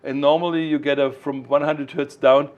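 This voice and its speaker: A man explaining technical matters informally as a product designer, in a didactic, emphatic way with halting phrasing. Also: on the high side, flat delivery, narrow, tinny audio.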